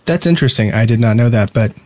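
A man's voice: medium pitch, animated, quick pace, darkish tone. A man co-hosting a podcast in casual, bantering conversation. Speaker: podcast co-host.

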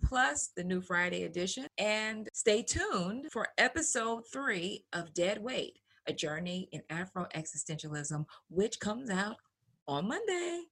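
A woman's voice: medium pitch, big pitch swings, a little slow, fairly bright tone, slightly rough. A woman co-hosting a podcast in a chatty, presenting style. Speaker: podcast co-host.

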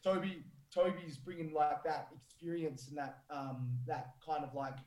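Croaky-voiced man, echoey room. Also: on the high side, steady tone, darkish tone. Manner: halting, lots of fillers and restarts. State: hoarse, croaky voice. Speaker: fight gym trainer. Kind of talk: casual podcast chat. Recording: roomy echo.

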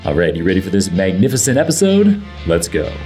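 A man's voice: deep voice, wildly swooping pitch, a little fast, warm tone, faint music behind. A man hosting a tech podcast, reading an enthusiastic scripted introduction and then chatting casually with his guest. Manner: enthusiastic and effusive. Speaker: podcast host. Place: home studio, guest on a remote call.

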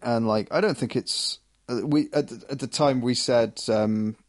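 Halting man, dry close sound. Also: mid-range voice, no echo. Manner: halting, stammering over words. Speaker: co-host of a chat show. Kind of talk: casual discussion.

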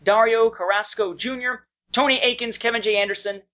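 A man's voice: very high-pitched, steady tone, brisk, pushed and bright. A man co-hosting a podcast, talking casually and reading out listener feedback. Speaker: podcast co-host. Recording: dull lo-fi podcast audio.

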